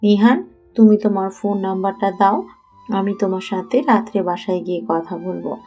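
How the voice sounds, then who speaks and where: Woman acting a bright-voiced, firm older sister. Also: medium pitch, steady tone, normal speed, soft background music, close to an everyday voice. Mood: firm, brisk. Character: young woman, schoolgirl's watchful older sister, firm and composed. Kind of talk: Bengali audio story acting.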